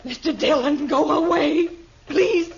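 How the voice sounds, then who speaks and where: A woman's voice: high voice, slow pace, gravelly, darkish tone, heavily acted. An actress playing a frightened frontier woman held hostage, strained in manner, in an old radio drama. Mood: terrified pleading. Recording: dull, faintly noisy old radio recording.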